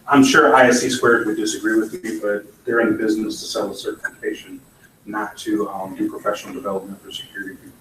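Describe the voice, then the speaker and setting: A man's voice: on the low side, huge pitch swings, fairly quick, fairly bright tone. Blunt man speaking in an echoey hall. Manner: blunt and opinionated, with dry asides. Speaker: conference speaker. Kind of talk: candid conference talk with Q&A. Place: conference hall. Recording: echoey hall, mic from far off, faint noise.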